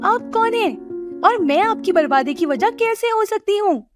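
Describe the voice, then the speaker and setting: A woman voicing a kind, earnest young village woman in an animated moral story. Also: very high-pitched, a little slow, music in the background, voice pushed up. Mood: distressed, pleading.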